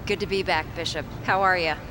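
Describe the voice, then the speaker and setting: A woman's voice: on the low side, steady tone, a little fast, close to an everyday voice. Woman as a tough, wry American pilot. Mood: dry banter. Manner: dry, bantering. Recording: clear outdoor vehicle noise behind.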